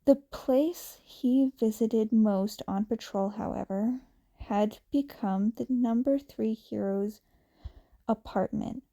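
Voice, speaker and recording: A woman's voice: a little high, slow pace, warm tone. A woman narrating a fan fiction story as an audiobook reader. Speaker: podfic narrator.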